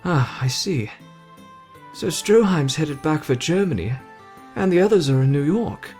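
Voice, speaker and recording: Guy doing a excitable young anime hero, high voice, playful and dramatic, asmr roleplay, music under it. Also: unhurried. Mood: surprised, curious. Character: brash excitable young man, wounded hero recovering. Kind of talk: ASMR roleplay acting addressed to listener.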